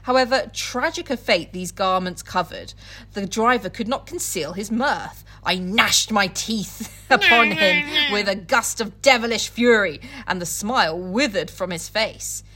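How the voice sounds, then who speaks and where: A woman co-hosting a podcast speaks at a middling pitch. She moves between casual, giggly chat and dramatic reading from a book. Her manner is irreverent and sweary. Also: animated, a little slow, pushed and bright. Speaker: podcast co-host.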